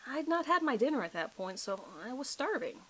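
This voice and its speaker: A woman's voice: high voice, very animated, a little fast, fairly bright tone, a little hiss or hum, pitched above the performer's own voice. A nervous suspect in his thirties a man as acted out by a woman gives his account in a dramatized police interview. He is hesitant and apologetic, rambling as he tries to recall details. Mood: hesitant, uneasy.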